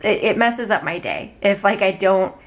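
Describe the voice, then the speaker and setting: Young female vlogger, mid-pitched voice with slight rasp, chatty and rambling. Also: normal speed. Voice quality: slightly raspy. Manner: rambling, conversational, frequent "like". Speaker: small business owner vlogger. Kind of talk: casual chatty vlog.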